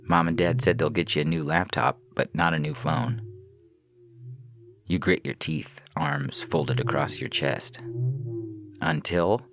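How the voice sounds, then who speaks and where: A man's voice: rumbling low, fairly even intonation, measured pace, pauses often, a crowd in the background. A man reading a scripted science fiction story as a narrator, in the manner of an audio fiction podcast. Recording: music playing behind the voice. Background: instrumental music.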